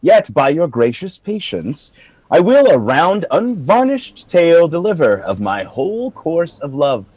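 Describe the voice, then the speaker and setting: A man playing a valiant, dignified general in a Shakespearean radio play, speaking in measured, earnest oratory. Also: fairly high pitch, big pitch swings, a little mellow, breathy edge, no echo, full character voice, rough recording. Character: valiant, dignified male general, frank and composed. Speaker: amateur actor in an online radio play. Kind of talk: Shakespearean verse acting for radio.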